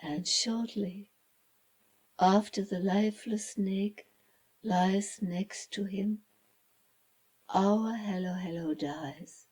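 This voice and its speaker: Very slow, hushed woman storyteller. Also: medium pitch, steady tone, low-quality recording. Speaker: author reading own children's story. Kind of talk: slow dramatic storybook narration.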